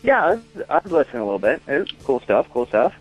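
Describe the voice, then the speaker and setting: A man's voice: medium pitch, sing-song, quick pace, slightly rough, muffled recording, soft background music. A man giving a casual comic interview as an expert caller, matter-of-fact and opinionated.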